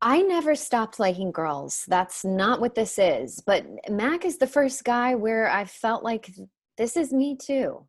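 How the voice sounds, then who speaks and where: A woman's voice: a little high, lively intonation, a little slow. A woman playing a defensive, sincere young college student in a staged play reading.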